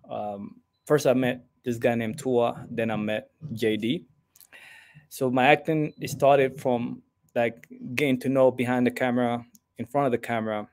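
A man from Somalia, guest on a video-call interview, talking haltingly with many fillers. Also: medium pitch, a little mellow. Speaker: guest filmmaker. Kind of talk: casual interview. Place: home room.

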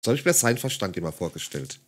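A man's voice: low voice, big pitch swings, quick pace. A man giving mocking, condescending commentary on a video clip in a sarcastic tone. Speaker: satirical commentator.

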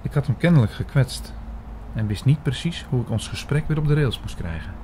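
Man playing a mellow, doubtful investigator narrator. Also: medium pitch, animated, brisk, slight background noise, close to an everyday voice. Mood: reflective, doubtful. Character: puzzled, suspicious male investigator narrating his story. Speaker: narrator and lead actor. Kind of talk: scripted audio drama narration.

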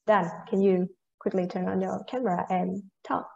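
A woman in her twenties moderating an online panel over an earphone mic, politely and briskly opening the floor for questions. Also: medium pitch, flat delivery, vocal fry, dark tone, dull audio.

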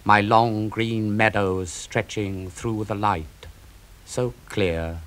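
A man's voice: on the low side, steady tone, slow pace, a bit bright. A man reading a grieving, bitter warrior prince. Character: grieving young male warrior prince, proud.

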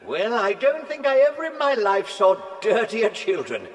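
A man's voice: high-pitched, huge pitch swings, normal speed, full character voice. An actor playing a kindly, jovial man in a children's audio drama, with a hearty, exclamatory manner. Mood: warm amusement.

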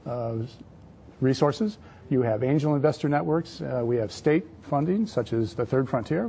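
A man in his fifties speaking as a guest in a studio panel discussion, halting and repeating words as he explains. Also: medium pitch, mellow. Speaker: panel guest, entrepreneurship adviser. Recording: clear background noise.

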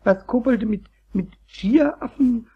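A man voicing a small, naive, excitable kobold in a children's radio play, squeaky and raspy, stammering and repeating words eagerly. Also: a little slow, highs cut off, exaggerated voice. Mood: wondering.

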